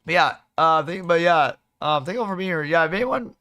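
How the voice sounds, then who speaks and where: A male game streamer talks casually to his chat in a somewhat high, slightly hoarse voice. He speaks haltingly, often doubling back to restart a phrase. The sound is dry, with very little room in it. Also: animated, sharp tone, dry recording. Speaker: livestream host, gamer.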